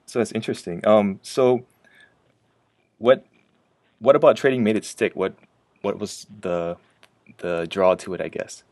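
A man's voice: on the low side, fairly even intonation, a little slow, pauses often, a little mellow, dry recording. A man hosting a casual interview, asking informal questions in a hesitant way. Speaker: interviewer, host.

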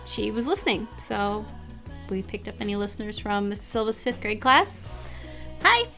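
A woman's voice: mid-range voice, sing-song, normal speed, pushed and bright, faint music behind. A woman co-hosting a podcast, chatting casually and finishing her partner's sentences. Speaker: podcast co-host. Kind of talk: casual chat.

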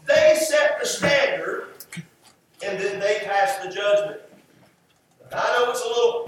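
High-pitched American preacher in an echoey space. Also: male voice. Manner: emphatic, rhetorical repetition with deliberate pauses. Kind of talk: sermon to a congregation. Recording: echoey, distant mic, faint background noise.

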